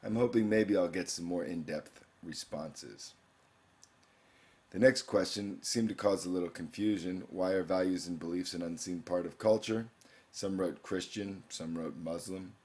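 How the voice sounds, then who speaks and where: A man's voice: deep voice, measured pace, darkish tone. A young man, a teacher, walking through an online course in a screencast, explaining each page and reading questions aloud. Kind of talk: screencast walkthrough narration.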